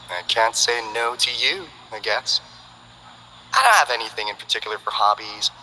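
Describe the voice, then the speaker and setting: A sly, breezy info broker. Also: male voice, medium pitch, bright, forceful tone, big-room sound, a little hiss or hum, low-quality recording. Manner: breezy, teasing, salesman-like banter. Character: sly, smooth-talking male info broker, friendly. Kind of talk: game character voice lines.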